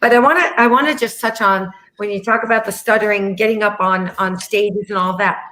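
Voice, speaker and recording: Middle-aged female host, medium pitch, conversational interviewing. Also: fairly even intonation, a little fast, sharp tone, poor audio.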